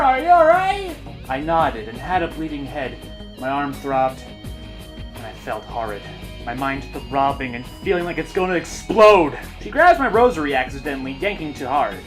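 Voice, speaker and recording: A man hosting his channel, reading bad fanfiction aloud in an over-the-top, mocking dramatic style with sudden shouts. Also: medium pitch. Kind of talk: mock-dramatic comedic reading.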